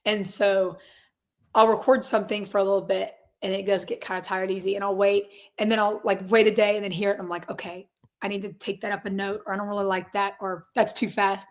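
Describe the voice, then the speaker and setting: A woman in her twenties with a raspy, hoarse voice, chatting casually as a guest musician from a closet at her grandparents' house. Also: mid-range voice, flat delivery, brisk. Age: 20s. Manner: upbeat, laughs often, many fillers. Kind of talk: casual interview chat.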